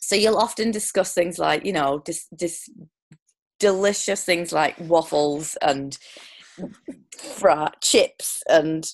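A low-voiced woman with a gravelly, creaky edge, hosting a relaxed conversational interview. She rambles warmly through her questions, circling back with ums and restarts before landing on the point. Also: takes it slowly. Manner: chatty, lots of restarts and ums. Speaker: podcast host. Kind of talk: casual interview chat.

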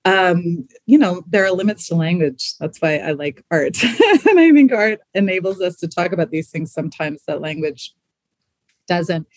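A woman's voice: lowish voice, very animated, moderate pace, no echo. Thoughtful woman, dry close sound. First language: English. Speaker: museum professional, interview guest. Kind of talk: reflective conversation.

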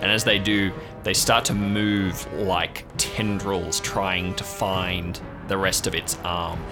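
Low-voiced man narrating over music. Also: flat delivery, takes it slowly. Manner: vivid, descriptive storytelling. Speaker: game master. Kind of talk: improvised narration of tabletop role-play adventure. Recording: music playing behind the voice.

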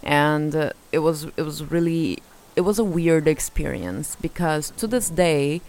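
A woman's voice: sits low, unhurried, darkish tone. A young Brazilian woman with a Brazilian accent tinged with Eastern European sounds, telling chaotic self-mocking stories in a casual vlog and laughing often. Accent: Brazilian Portuguese with Eastern European traces. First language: Portuguese. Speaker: vlogger. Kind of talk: casual storytelling monologue.

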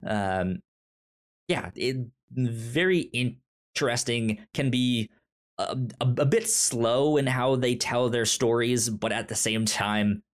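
A man co-hosting a podcast in casual movie chat, talking haltingly with stammered repeats. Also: medium pitch. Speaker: podcast co-host.

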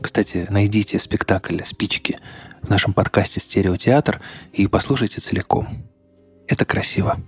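A man's voice: fairly low pitch, normal speed, slightly rough. A man narrating a scripted podcast as its host, with guitar music behind him.

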